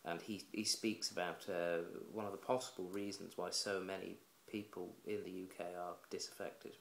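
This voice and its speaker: A man's voice: low-pitched, flat delivery, moderate pace, vocal fry, slight background noise. A man giving blunt, opinionated casual commentary to camera for his own video blog, speaking indoors at home. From England. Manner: blunt, opinionated, plain-spoken. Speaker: vlogger. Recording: roomy echoey room.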